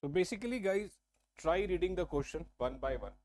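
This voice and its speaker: A man's voice: high voice, expressive, brisk, a little mellow. A young man with an Indian English accent teaching exam-prep math in a live online lesson. He talks in a chatty way, repeats himself and often checks whether viewers are following. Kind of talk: live-streamed whiteboard lesson. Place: indoor studio at a large screen.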